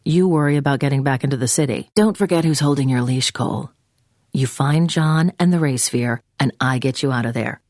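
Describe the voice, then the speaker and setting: A woman's voice: extremely low pitch, brisk, darkish tone, no room sound. Stern female government handler, commanding, clipped delivery, controlling, video game dialogue, dry close sound. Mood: threatening. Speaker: mission handler giving orders by phone.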